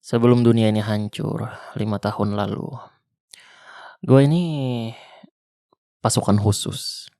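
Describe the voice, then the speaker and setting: Man playing a gravelly, protective zombie-apocalypse survivor. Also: fairly low pitch, a little slow, pauses often, dry recording, studio quality. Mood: gentle teasing. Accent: Jakarta colloquial. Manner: soft, teasing, reassuring. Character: tough male ex-special-forces zombie survivor, protective, flirty. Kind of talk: ASMR roleplay.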